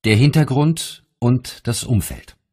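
A man narrating an audiobook of spiritual teachings in a measured, deliberate, solemn reading style. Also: medium pitch, darkish tone, breathy edge.

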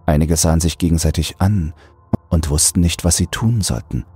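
A man narrating a scripted horror audiobook in a deliberate, brooding delivery. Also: sits low, dark tone, husky, soft background music.

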